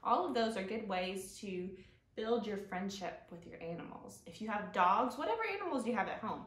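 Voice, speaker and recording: A woman in her thirties hosting a children's storytime, speaking in a gentle, sing-song, drawn-out way to young viewers. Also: medium pitch, lively intonation. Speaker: library storytime host. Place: living room, indoors, on a couch. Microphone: camera a few feet away. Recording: roomy echo from camera distance.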